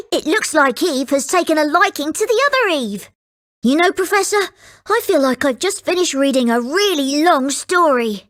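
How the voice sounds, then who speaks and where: A woman voicing a polite, earnest and excitable young boy in a video game. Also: high voice.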